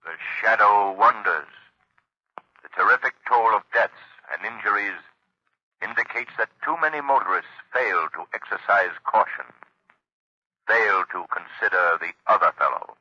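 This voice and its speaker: Sharp, ominous shadowy avenger. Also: male voice, on the low side, normal speed, long pauses, breathy edge, exaggerated voice. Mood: stern warning. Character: stern, ominous shadowy avenger issuing a public warning. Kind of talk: 1930s radio public safety warning. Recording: muffled 1930s radio broadcast recording.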